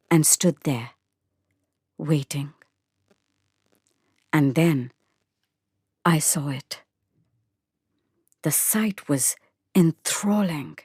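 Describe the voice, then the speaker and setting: Low-voiced woman narrating a dramatic story. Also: darkish tone, no room sound, clean studio sound. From India. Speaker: narrator and actor. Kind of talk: dramatic first-person short story narration.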